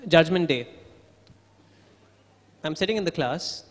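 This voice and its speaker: A man's voice: on the high side, expressive, a little slow. A man reading a first-person literary story aloud at a live book event. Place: large indoor event venue. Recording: echoey hall.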